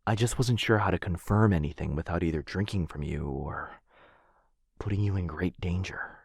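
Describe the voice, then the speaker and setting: A man playing an apologetic, protective vampire lord in an intimate boyfriend ASMR roleplay, with a breathy, husky voice that often drops to a whisper and a hesitant, tender delivery. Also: low voice, normal speed, no room sound, clean studio sound, full character voice. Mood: remorseful, earnest. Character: apologetic, protective male vampire lord, soft-spoken and earnest.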